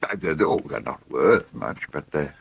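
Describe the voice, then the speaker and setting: An actor playing a sentimental old English farmer in a radio soap, with a husky voice and a halting, reminiscing manner. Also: male voice, rumbling low, expressive, full character voice. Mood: tender. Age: older. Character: sentimental old farmer, wistful about bygone days. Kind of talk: naturalistic radio soap acting.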